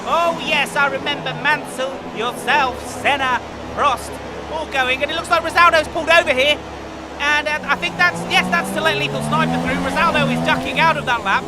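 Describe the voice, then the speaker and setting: A lead race commentator calls the laps with excitable energy, played by a man. His voice is strikingly high for a man. Loud music plays beneath the commentary. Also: a loud crowd. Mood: steady. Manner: excitable play-by-play, rising on fast laps. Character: male lead motorsport commentator. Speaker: play-by-play commentator. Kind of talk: fan-made motorsport commentary.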